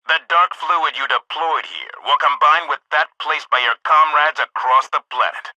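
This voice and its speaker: An actor playing a solemn, zealous military democracy officer in a video game, speaking in stern, formal, grandiose oratory. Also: male voice, on the high side, moderate pace, sharp tone, no room sound, lo-fi sound. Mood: solemn, resolute. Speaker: military officer and mission briefer. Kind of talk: scripted video game voice acting.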